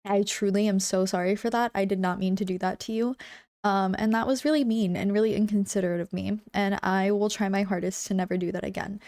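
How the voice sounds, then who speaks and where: A woman's voice: medium pitch, fairly even intonation, fairly quick, a little mellow. A young woman streaming from home, chatting with her viewers. She sounds a bit congested and fills her talk with "like" and "um". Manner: bubbly, chatty, lots of "like" and "um". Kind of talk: casual chat with live chat.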